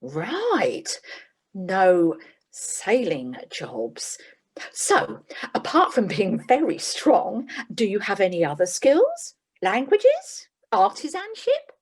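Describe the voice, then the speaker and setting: A woman's voice: medium pitch, huge pitch swings, slow pace, a little mellow, slightly rough, exaggerated voice. A woman playing a businesslike, slightly weary job agent in a comic radio play. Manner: businesslike, dry, faintly exasperated. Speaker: employment agent.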